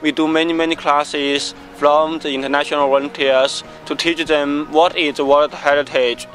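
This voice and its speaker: A man's voice: fairly high pitch, flat delivery, moderate pace, a bit bright. Earnest man, simple English, music behind. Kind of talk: interview answers.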